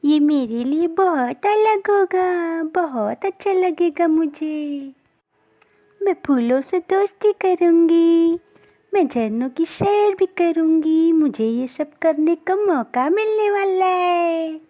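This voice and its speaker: A woman voicing a small, innocent, excitable young daughter bird in a cartoon story, childish and wide-eyed. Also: very high voice, slow, heavily acted. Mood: excited. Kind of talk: cartoon story character acting.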